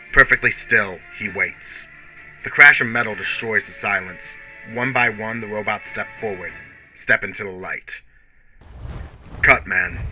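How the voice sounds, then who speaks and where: A man narrates a radio play in a lowish, very bright and tense voice. His delivery is grave and theatrical, leaning hard on key words, with music running beneath him. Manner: theatrical, grave, emphatic storytelling. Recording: music bed under the voice.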